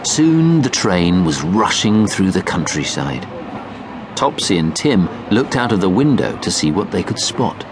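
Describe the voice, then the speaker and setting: A man's voice: low voice, very animated, measured pace, background noise. A man narrating a children's storybook as an audiobook reader.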